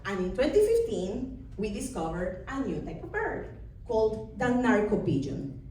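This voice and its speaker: A woman from Costa Rica with a Costa Rican Spanish accent, performing a wry, deadpan stand-up set in a comedy club. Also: a little high, normal speed. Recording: far-off echoey room with background noise. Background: audience laughter and ambience.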